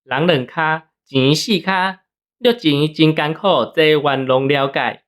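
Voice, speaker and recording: Man acting young Taiwanese gangster salesman, radio drama, glib patter, eager to please, raised pitch. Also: slow pace, a bit bright. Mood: oily, eager.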